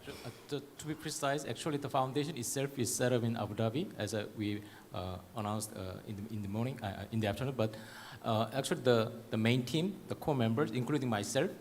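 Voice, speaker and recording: Guy on a panel talking fast, echoey event room, bit of background noise. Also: mid-range voice, a little mellow. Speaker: panelist, core team member of a foundation. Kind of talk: informal clarification on a panel. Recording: echoey noisy event hall.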